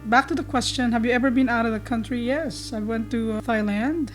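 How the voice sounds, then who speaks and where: A woman's voice: fairly high pitch, fairly even intonation, a little fast, faint music behind. A woman from the Philippines with a Filipino accent and Tagalog as her first language, chatting easily as co-host. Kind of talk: casual chat.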